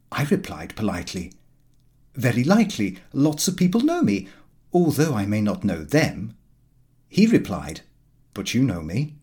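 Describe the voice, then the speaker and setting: A man narrates a literary diary as an audiobook. His pitch moves around in an animated way, and his voice has a husky edge. The delivery is scripted first-person storytelling. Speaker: audiobook reader.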